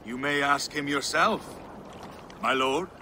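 A man playing a proud, booming Norse warlord and royal uncle in a video game, with declamatory delivery. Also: takes it slowly, pushed and bright, a little hiss or hum, heavily acted. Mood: hearty, proud. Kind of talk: dramatic video game acting.

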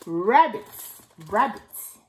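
A middle-aged woman hosting a storytime, reading aloud to small children in simple, deliberate phrases and repeating key words. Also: medium pitch, lively intonation. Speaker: storytime host reading a picture book to young children. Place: indoors in a home, beside a staircase.

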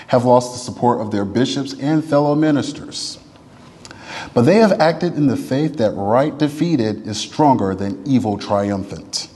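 A man reads a written letter aloud in a solemn, oratorical style, with deliberate, emphatic phrasing and slowed, falling endings to phrases. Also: mid-range voice, warm tone. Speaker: reader at a church service. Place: church sanctuary. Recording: long reverb of a large hall.

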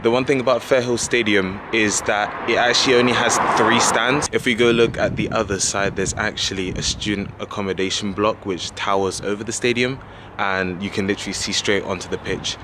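Chatty young man vlogging on loud streets. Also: fairly low pitch, steady tone, a little fast. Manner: chatty, rambling, enthusiastic. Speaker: travel vlogger. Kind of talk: casual walking vlog narration. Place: outdoors on city streets. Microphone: handheld camera at arm's length. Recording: loud outdoor traffic noise. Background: loud street and traffic noise.

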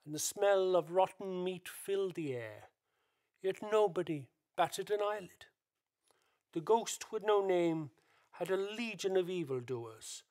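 A man narrating a spooky radio play as a dramatic scripted storyteller. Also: sits high.

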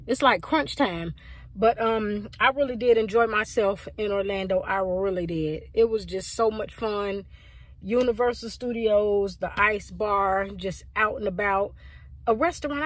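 Chatty woman vlogging from her car. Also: a little high. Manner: rambling, animated, talks with her hands. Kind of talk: casual chatty vlog. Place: parked car, indoors. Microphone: phone at arm's length.

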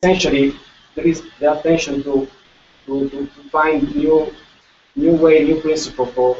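Italian man, middle-aged, giving a halting talk, heard from across an echoey room. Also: medium pitch, darkish tone, a little hiss or hum. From Italy. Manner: halting, many restarts and repeated words, gesturing. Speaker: guest researcher presenting. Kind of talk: lecture presentation. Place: lecture room with projector screen. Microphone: far room camera. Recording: echoey room, distant and dull.

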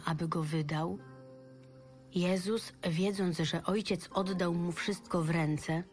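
A woman narrating scripture from a script in a solemn, deliberate manner. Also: on the low side. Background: music.

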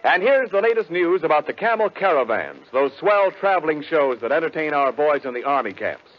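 An American man announcing on 1940s radio, reading scripted copy with a polished, emphatic salesman's delivery. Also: a little high. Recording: muffled 1940s radio broadcast.

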